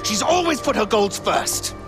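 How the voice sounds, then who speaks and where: A man's voice: high voice, very animated, a little slow. An actor playing an angry male rebel leader in a video game cutscene, blunt and accusing. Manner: scornful, forceful. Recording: loud music underneath.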